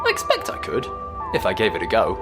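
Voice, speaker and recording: A man's voice: fairly low pitch, huge pitch swings, quick pace, music in the background. An actor playing a polite, slightly nervous male ghost in an audio drama, chatting in mild understatement. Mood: eager, uncertain. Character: friendly, nervous, polite male ghost, matter-of-fact about danger.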